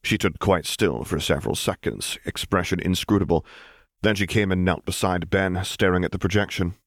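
A man reading a story aloud as an audiobook narrator, in an expressive scripted style. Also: low-pitched, moderate pace, no room sound, studio quality.

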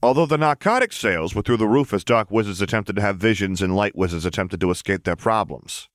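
A man's voice: on the low side, fairly quick, dry recording, studio quality, voice pushed up, natural voice. A man voicing a chatty, deferential vampire henchman in an audiobook story, reporting eagerly and informally to his boss.

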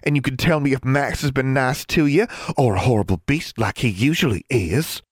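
A man's voice: medium pitch, normal speed, no echo, studio quality, voice pushed up, natural voice. A man voicing a bubbly, affectionate older teenage girl in an audiobook, with an effusive, gushing manner. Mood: excited, gushing. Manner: effusive, cooing, sing-song.

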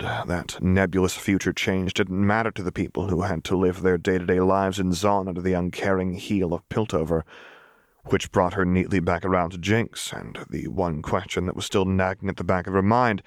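A man's voice: low voice, fairly even intonation, normal speed, no room sound, studio quality. A man reading fiction aloud as scripted audiobook narration. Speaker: audiobook reader.

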